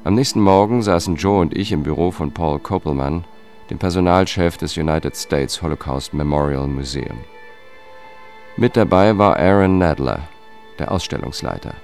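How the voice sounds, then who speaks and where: An actor playing a sober, world-weary investigator who tells a political thriller in a dry, measured way for radio. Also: male voice, low-pitched, a little mellow. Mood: matter-of-fact. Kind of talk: scripted first-person narration and dialogue, radio drama. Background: music.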